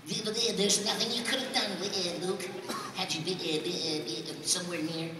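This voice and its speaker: A man's voice: sits high, brisk, lightly acted. A man playing a flustered old mentor as a heavily stuttering cartoon character in a live comic radio play. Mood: flustered stammering. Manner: heavy comic stutter, swapping words mid-stammer. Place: large convention hall, recorded from the audience. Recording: distant echoey hall, noisy crowd.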